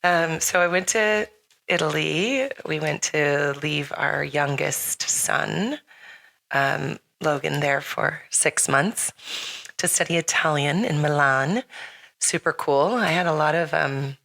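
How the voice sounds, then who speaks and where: A middle-aged woman hosting a chatty podcast, her voice low and gravelly with a lingering rasp from illness. Her tone is bright and forward, and she talks in a loose, rambling way full of fillers and restarts. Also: slow. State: slightly raspy from recent illness. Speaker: podcast host. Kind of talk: casual chat.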